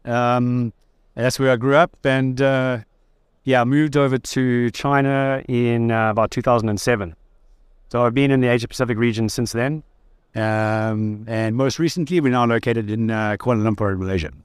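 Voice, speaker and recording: A man's voice: medium pitch, fairly even intonation, normal speed, clean tone, no room sound, clean studio sound. A South African man from Durban, a guest in a conversational interview recorded at a trade fair stand, talking in an easygoing, thoughtful way with light jokes.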